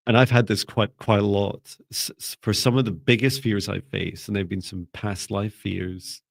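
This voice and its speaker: A man teaching a live online spiritual class, speaking in a calm, gentle, soft-spoken way and pausing often to restart his sentences. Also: fairly low pitch, gravelly, no room sound, clean studio sound. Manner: hesitant, with repeated restarts. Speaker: spiritual mentor. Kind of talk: live online class, reading viewer comments.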